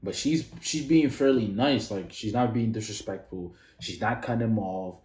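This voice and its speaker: A man's voice: fairly low pitch, moderate pace, roomy sound. A man in his thirties hosting a reaction video, talking casually and full of "like" fillers. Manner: rambling, many "like" fillers, animated when disagreeing. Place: small indoor room.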